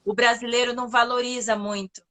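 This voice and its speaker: A Brazilian woman hosting a casual interview, firing off quick, curious questions one after another. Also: a little high, level tone, sharp tone. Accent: Brazilian Portuguese.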